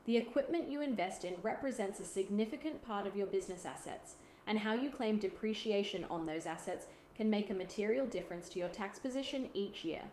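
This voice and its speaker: A woman reading a scripted explainer in a measured, instructional way, as a presenter. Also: medium pitch, a little mellow, a little hiss or hum, poor audio.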